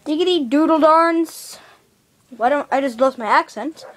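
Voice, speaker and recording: A woman's voice: high-pitched, a little slow. A woman playing a bossy, sarcastic, easily exasperated video game hero in an improvised amateur skit. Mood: musing, sarcastic.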